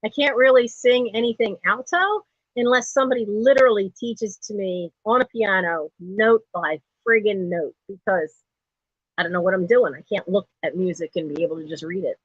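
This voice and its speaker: A middle-aged woman with a low speaking voice, hosting a live online sale from home in a rambling, chatty way that is full of laughs. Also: normal speed, husky, dry recording. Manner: chatty and digressive, laughs often. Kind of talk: rambling casual live-stream chat. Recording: dull lo-fi stream audio.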